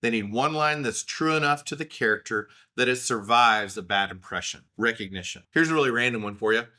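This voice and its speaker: A man's voice: medium pitch, a little fast, pushed and bright. A man in his thirties with an Oklahoma accent, telling rambling, self-deprecating stories in a casual solo talk.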